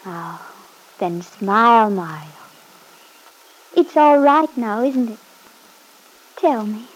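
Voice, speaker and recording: An actress playing a loving, patient young Italian wife in a classic radio drama, speaking in a tender, coaxing way. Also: female voice, on the high side, expressive, slow pace, slight background noise, low-quality recording. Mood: earnest, pleading. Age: young adult.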